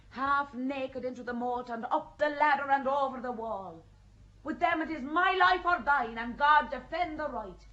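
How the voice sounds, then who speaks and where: A woman's voice: medium pitch, a bit bright. An actress playing a young, defiant peasant girl in a radio play, earnest and pleading. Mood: fervent. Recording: dull lo-fi recording.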